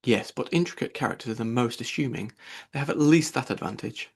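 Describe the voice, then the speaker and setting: A man's voice: medium pitch, lively intonation, a little fast, gravelly, husky. A man voicing a witty, self-possessed, frank young woman in a classic novel audiobook, composed and teasing.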